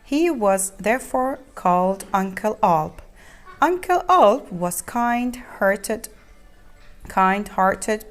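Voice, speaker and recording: A woman reads a children's story aloud, haltingly, repeating and explaining words as she goes. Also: fairly high pitch. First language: not English.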